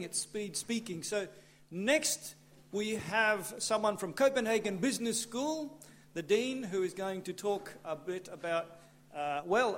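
A man's voice: high-pitched, fairly bright tone. A man moderating a conference, introducing the next speaker with light jokes in a hurry. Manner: light, joking, hurried. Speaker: event moderator.

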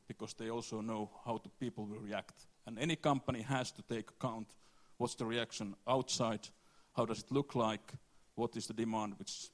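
A man giving a conference presentation, halting and repeating words as he goes. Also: on the low side. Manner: halting, repeats words, non-native phrasing. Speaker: conference speaker.

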